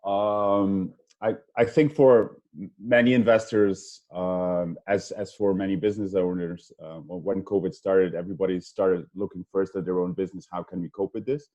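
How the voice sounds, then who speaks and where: Deep-voiced Belgian-born man, halting. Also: fairly even intonation, dark tone, lo-fi sound. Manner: hesitant, many ums and restarts. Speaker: investment fund co-founder. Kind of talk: webinar panel talk.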